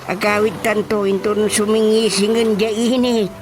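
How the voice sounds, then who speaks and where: A man with an Ilocano accent playing a bumbling, excitable village chairman in a comedic radio drama, stammering, muttering and exclaiming. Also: high-pitched, moderate pace, loud music behind the voice, natural voice. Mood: flustered.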